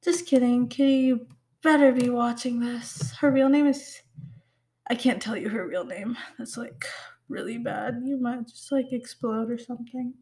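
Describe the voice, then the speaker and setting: High-voiced chatty teen girl. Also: steady tone, moderate pace, a little mellow. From Pakistani heritage. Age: teens. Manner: rambling, self-teasing, full of "like" and "um". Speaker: podcast host. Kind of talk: casual solo podcast chat.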